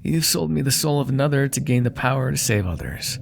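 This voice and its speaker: A man's voice: mid-range voice, lively intonation, brisk, gravelly, background noise. A man playing a proud, menacing demon dealmaker in an ASMR roleplay, theatrical and condescending, coaxing with whispered asides. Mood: smug, businesslike.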